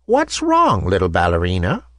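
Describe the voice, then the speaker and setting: A man voicing a kindly old woman toy maker in a storybook, with a gentle, soothing manner. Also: sits high, huge pitch swings, measured pace, no echo, pitched above the performer's own voice, full character voice.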